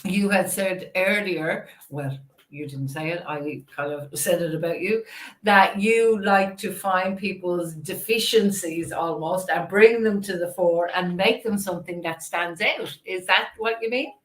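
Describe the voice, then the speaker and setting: A woman's voice: on the low side, unhurried. A woman with an Irish accent hosting a casual interview on a video call, thinking aloud and affirming as she goes. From Ireland. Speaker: interview host. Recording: roomy, echoey sound.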